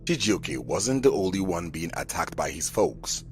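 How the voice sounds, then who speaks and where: A man's voice: low-pitched, big pitch swings, measured pace. A man narrating a scripted folk tale as a storyteller. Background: background music.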